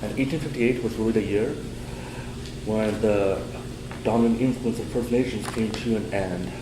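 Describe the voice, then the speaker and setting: Young man with a steady, prepared delivery. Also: fairly low pitch. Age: young adult. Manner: steady, prepared delivery with some slow stresses. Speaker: student presenter. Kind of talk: prepared class presentation. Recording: echoey room with air-conditioning hum.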